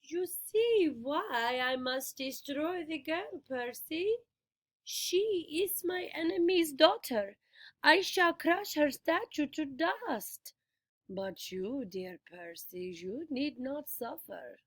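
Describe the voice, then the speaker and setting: A woman voicing a soothing, menacing old female monster who speaks slowly and softly. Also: fairly high pitch, expressive, dry recording, heavily acted. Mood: purring. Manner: slow soft purring voice.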